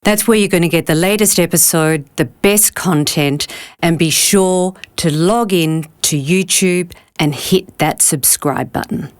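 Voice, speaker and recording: A woman's voice: fairly low pitch, unhurried. A woman hosting a podcast from a studio, reading a polished scripted intro and then asking attentive interview questions. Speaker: podcast host.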